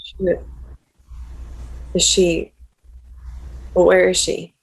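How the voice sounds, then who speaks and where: A woman's voice: fairly low pitch, completely flat delivery, very slow, creaky, slightly rough, exaggerated voice, poor audio. A woman reading the part of an angry, frightened young woman facing a demon at a drama table read. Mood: shaken. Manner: accusing, shaken.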